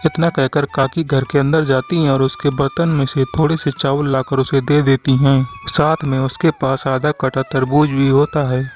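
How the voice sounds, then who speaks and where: A man narrates a cartoon story in a scripted storybook style. His pitch sits in the middle and moves little, with music playing behind him. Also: little pitch movement, moderate pace, hardly any pauses, a little mellow.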